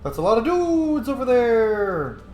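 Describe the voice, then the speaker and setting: A man's voice: very high-pitched, sing-song, measured pace, darkish tone, echoey room, soft background music. A man giving casual, playful gameplay commentary with jokey sing-song asides. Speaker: let's player.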